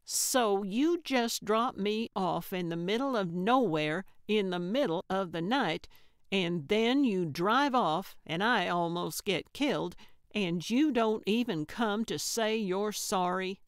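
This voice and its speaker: A feisty older woman with a sentimental streak, as a woman narrator reads her. She is blunt and plainspoken, with a smooth, lowish voice in a dry audiobook recording. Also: takes it slowly, dry recording. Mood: indignant. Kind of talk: audiobook character voice.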